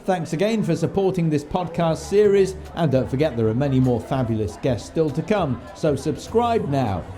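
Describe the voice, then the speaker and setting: A man's voice: fairly high pitch, expressive, normal speed, mellow, soft background music. A man presenting a podcast as its host, reading scripted announcements and links. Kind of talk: scripted presenting and backstage interviewing.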